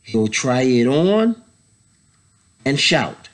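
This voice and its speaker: Man in his thirties, slow pace, medium pitch, children's read-aloud, pauses, casual asides to kids. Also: sing-song. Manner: unhurried, pausing, explaining to children. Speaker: story time reader, educator. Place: indoors, school library.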